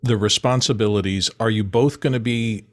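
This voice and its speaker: A man's voice: fairly low pitch, fairly even intonation, moderate pace. A man who reads out questions and chips in conversationally on an online panel. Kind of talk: reading out questions, conversational asides. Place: online video call.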